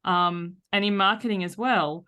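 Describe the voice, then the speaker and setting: A woman's voice: medium pitch, moderate pace, bright, forceful tone, clear, resonant tone. A woman hosting a podcast in casual conversation, enthusiastic and anecdotal. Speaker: podcast host.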